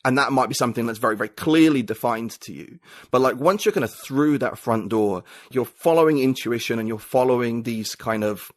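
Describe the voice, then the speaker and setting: A man's voice: medium pitch, fairly quick, no echo. A man, a writer and podcaster, talking as an interview guest, reflective and thinking aloud with many fillers. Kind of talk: casual interview conversation.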